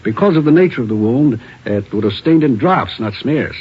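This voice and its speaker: Husky, insistent crime lab technician. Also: male voice, medium pitch, expressive, normal speed. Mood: insistent, explaining. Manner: emphatic, explanatory. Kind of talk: 1940s radio drama acting. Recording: thin, muffled 1940s radio recording.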